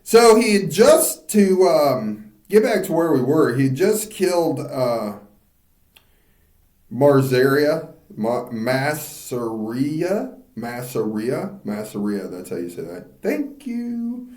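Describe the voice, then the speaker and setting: Man, podcast co-host, slow, joking and laughing, roomy echo. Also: medium pitch, sing-song, a little mellow. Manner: joking, stumbles over names, laughs often. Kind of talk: casual banter.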